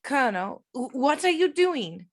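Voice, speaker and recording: A woman's voice: sits high, big pitch swings, a little slow, no room sound, studio quality, heavily acted. A woman playing a compassionate, anxious young heroine, earnest in a script table read. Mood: worried, earnest.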